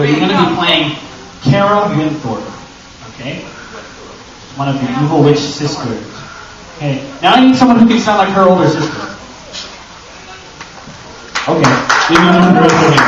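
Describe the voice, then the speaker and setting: A man's voice: on the high side, animated, moderate pace, pauses often. A distant, muffled recording in a noisy, reverberant room carries a man presenting at a convention panel. He talks informally and directly to the crowd in a chatty, joking way, like a host running the session. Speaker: panel presenter and audio drama creator. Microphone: distant camera microphone.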